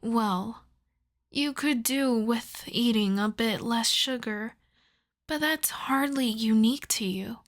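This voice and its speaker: A woman playing a polite, coyly obsessive vampire lab technician in an ASMR roleplay, speaking softly and courteously, often breathily, straight to the listener. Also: on the high side. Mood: reassuring, measured. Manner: soft-spoken, courteous, measured, often breathy.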